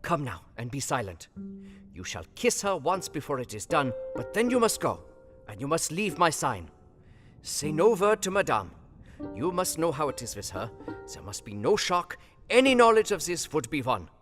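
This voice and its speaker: Audio drama casting: older doctor, kindly yet urgent, solemn broken English, highly animated delivery in a reverberant hall. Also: male voice, normal speed. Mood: rousing, earnest. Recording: long hall reverb over music.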